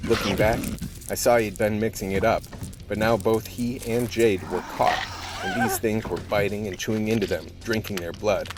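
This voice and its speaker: An actor playing a weary, blunt, hard-boiled cop narrating a gritty ordeal in a scripted radio play. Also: male voice, on the low side, steady tone, moderate pace, close to an everyday voice. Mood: grim. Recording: loud music under the voice.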